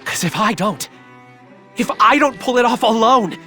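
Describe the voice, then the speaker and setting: A man voicing a scheming, excitable young demon scientist villain in an anime game, dramatic and self-absorbed. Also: very high voice, moderate pace, soft background music, heavily acted. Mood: grim resolve. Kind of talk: English anime game dub acting.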